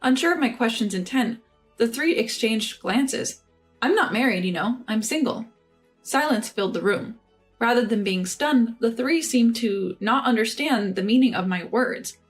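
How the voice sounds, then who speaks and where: Woman narrator, scripted story reading, measured and even, faint music underneath. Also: a little high.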